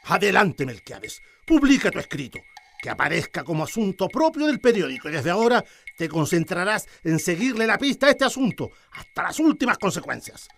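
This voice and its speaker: A man's voice: high-pitched, sing-song, a little fast, vocal fry, a bit bright, slight background noise, heavily acted. An actor with a Chilean accent playing a cautious, authoritative newspaper director in a radio drama, speaking emphatically and repeating his words. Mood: commanding. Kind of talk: Spanish-language radio drama acting.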